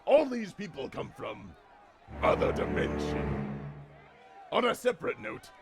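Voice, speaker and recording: A man playing a condescending, excitable wounded character with a gravelly voice in a comic audio drama. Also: extremely high pitch, unhurried, over loud music, heavily acted.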